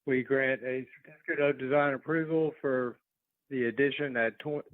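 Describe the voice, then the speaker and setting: A man's voice: mid-range voice, dry recording. A man serving as a commissioner, speaking formally in a meeting. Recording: dull, thin phone-like call audio.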